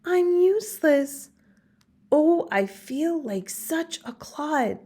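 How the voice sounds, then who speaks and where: A woman voicing a sensitive, clumsy young male giraffe in a children's storybook, emotional and expressive. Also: sits high, slow pace, pitched above the performer's own voice. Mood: dejected.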